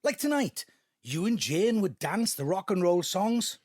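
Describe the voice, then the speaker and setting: An angry adult son in an audio drama, high in pitch for a man. He is confrontational and insistent, repeating his demands as he accuses his family. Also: big pitch swings, measured pace, darkish tone, exaggerated voice. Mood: brooding, hurt. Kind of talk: emotional audio drama dialogue.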